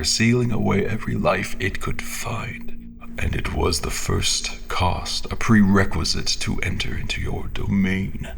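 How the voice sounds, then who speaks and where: Man acting a very deep, gravelly, haunted fantasy general. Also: fairly bright tone, heavily acted. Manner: solemn, brooding, theatrical, halting, drifting into whispers. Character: haunted, guilt-ridden male fantasy general turned dark champion. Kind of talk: dramatic scripted first-person fantasy narration. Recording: background music under the voice.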